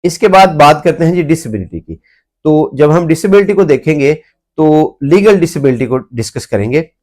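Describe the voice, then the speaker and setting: A man's voice: fairly high pitch, expressive, moderate pace, a little mellow. A man with a Pakistani accent giving an explanatory law lecture, methodically rephrasing points and mixing in English legal terms.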